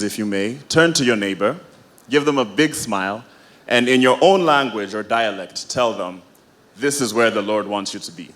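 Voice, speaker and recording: Man, from Sierra Leone, conference emcee, upbeat, lowish voice. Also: a bit bright. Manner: upbeat, engages the audience.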